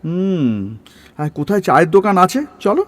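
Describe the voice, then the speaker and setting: High-pitched, grumbling overseer, very animated. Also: male voice, normal speed, no echo. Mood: businesslike, deciding. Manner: grumbling, bossy, colloquial with mild swearing. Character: weary, irritable government overseer, man in charge. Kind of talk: Bengali audio story dramatization.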